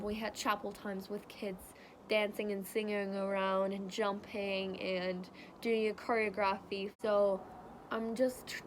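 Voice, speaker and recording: Woman, young adult, German accent, casual vlog chat, filler-heavy, middle pitch, faint noise. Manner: rambling, many "um" fillers, chatty and friendly. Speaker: vlogger.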